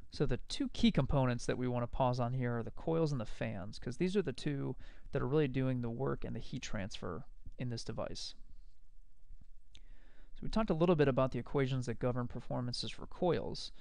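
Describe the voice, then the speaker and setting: A man giving a measured, explanatory recorded lecture over slides. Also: mid-range voice, vocal fry, darkish tone, dry recording.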